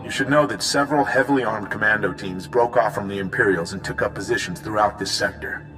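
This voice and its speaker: An actor playing a grave, dutiful military commander of a prisoner unit in a video game, speaking earnestly and formally. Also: male voice, lowish voice, fast talker, lightly acted. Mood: businesslike. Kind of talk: video game dramatic dialogue. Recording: long reverb, loud music underneath.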